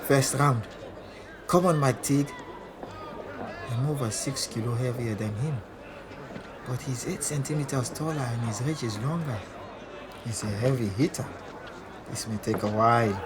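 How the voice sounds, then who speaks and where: An actor playing a proud, defiant champion boxer from Senegal, narrating a 1920s audio drama in the first person. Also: male voice, medium pitch, moderate pace, long pauses, natural voice. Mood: focused, confident. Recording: loud crowd roar, heavy background noise. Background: cheering fight crowd and ambience.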